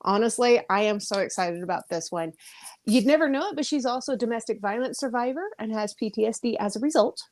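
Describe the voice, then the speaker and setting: A woman's voice: fairly high pitch, quick pace, darkish tone. A woman hosting a casual, chatty interview in an effusive, exclaiming way. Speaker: podcast host and interviewer.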